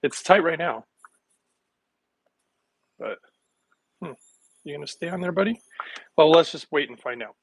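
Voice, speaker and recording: A middle-aged man chatting casually with livestream viewers while making a smoothie in his home kitchen, rambling from one thought to the next. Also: on the high side, animated, measured pace, long pauses, a little hiss or hum. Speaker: wellness vlogger.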